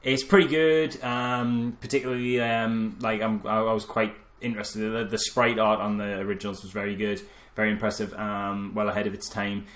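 A man's voice: on the low side, little pitch movement, normal speed, a bit bright. A man co-hosting a casual film review podcast, telling anecdotes in a jokey, sweary way. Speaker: podcast co-host.

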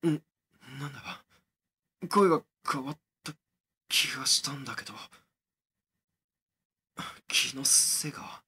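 A man as nervous young guy, horror radio play, breathy husky voice, unhurried, muttering to himself. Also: medium pitch, bright, forceful tone, exaggerated voice. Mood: uneasy. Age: young adult. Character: uneasy young man examining a mysterious tape. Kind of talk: horror audio drama acting.

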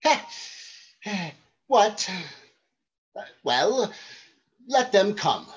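A woman's voice: mid-range voice, sing-song, extremely slow, husky, muffled recording, heavily acted. A woman voicing a cowardly country squire faltering nervously before a duel in a stage play.

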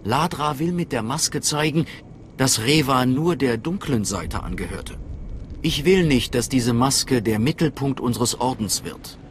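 An actor voicing a calm, sceptical scholarly steward of a cult in a video game, earnest and persuasive. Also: male voice, medium pitch, measured pace, a little hiss or hum, lightly acted. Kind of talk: dramatic video game dialogue. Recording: faint music behind voice.

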